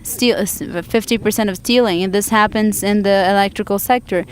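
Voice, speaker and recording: A woman interpreting a panel discussion live into English from a conference booth, halting often to restart and correct her words. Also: medium pitch, steady tone, a little hiss or hum.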